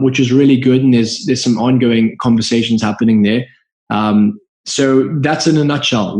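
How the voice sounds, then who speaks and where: A man with a Zimbabwean accent speaks in a gravelly, fry-edged voice at a middling pitch. He tells his story in an earnest, reflective and open way, as a guest on a podcast. The sound is roomy, with a clear echo around him. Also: a little mellow. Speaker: guest entrepreneur.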